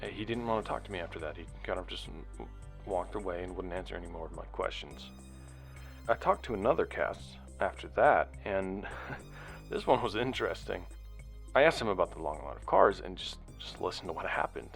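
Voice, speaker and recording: An actor playing an earnest investigator logging findings in a sci-fi audio drama, speaking in a halting, deliberate, conversational way. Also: male voice, low voice, animated, gravelly, faint music behind. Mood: sombre, reflective. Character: earnest male investigator recording a log of findings. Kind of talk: sci-fi audio drama monologue and field interviews.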